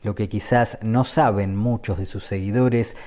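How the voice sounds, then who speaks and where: Male podcast host, deep warm read, calm scripted narration. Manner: measured, read-aloud delivery.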